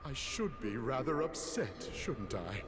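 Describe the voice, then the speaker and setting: A man's voice: very animated, a little slow, loud music behind the voice. A man playing a neurotic, scheming mad scientist villain in a video game, nasal, drawling and theatrical. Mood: weary, sarcastic. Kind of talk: video game cutscene acting. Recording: loud rumbling ambience behind.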